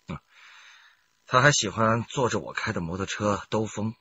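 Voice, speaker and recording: A man's voice: fairly low pitch, moderate pace, pushed and bright, muffled recording, a little hiss or hum. A man playing a cunning, reckless gambler and murder suspect, brazen and sneering, in a radio crime drama. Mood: cynical confessing.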